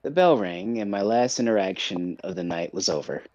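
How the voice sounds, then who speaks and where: A man's voice: deep voice, expressive, normal speed, a little mellow, no room sound, lo-fi sound. A man reading visual novel narration aloud as a streamer.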